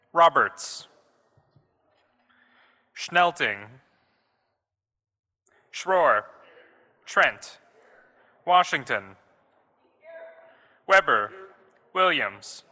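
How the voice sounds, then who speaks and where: A man working as a senate clerk, calling out formally and deliberately in isolated phrases, as in a roll call. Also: on the high side, expressive, sharp tone. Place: large legislative chamber. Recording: echoey hall with long reverb.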